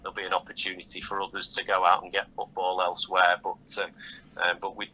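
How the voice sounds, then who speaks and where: A man giving measured, halting answers as a football manager interviewed over the phone, often repeating words as he goes. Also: low voice, monotone, pushed and bright. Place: phone call. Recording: dull, lightly noisy phone call audio.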